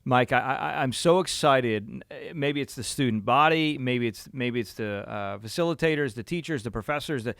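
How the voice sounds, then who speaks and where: A man's voice: medium pitch, moderate pace, creaky, hardly any pauses, fairly bright tone, no room sound, clean studio sound. A man hosting an interview, affirming his guest often and asking open questions in a conversational way. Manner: affirming, with frequent "mm-hmm" backchannels. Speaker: podcast host, interviewer.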